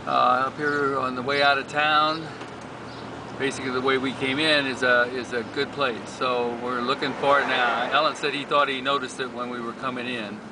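A man's voice: mid-range voice, level tone, normal speed. A man giving rambling, casual commentary from inside a moving car as a support driver, thinking aloud about times and miles. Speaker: support driver narrating a charity bike ride. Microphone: dashboard camera mic. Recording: distant voice, loud road noise.

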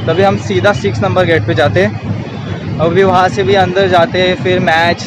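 A man's voice: high-pitched, flat delivery, moderate pace, heavy background noise. A young Indian man with an Indian accent, vlogging casually to a phone held at arm's length as he walks outdoors. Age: young adult. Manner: chatty, talks straight to a handheld camera while walking. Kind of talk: casual walking vlog. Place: outdoors on city streets and inside a college building.

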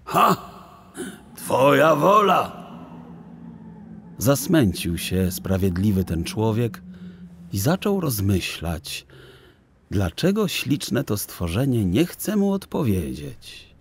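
Slow, expressive male storyteller. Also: fairly low pitch, vocal fry, slight background noise. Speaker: audiobook narrator. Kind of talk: expressive children's story narration.

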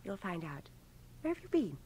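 A breezy wartime nurse in a radio drama. Her husky voice lilts playfully and swings widely in pitch, with a teasing air. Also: very animated, moderate pace, darkish tone. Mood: breezy, welcoming.

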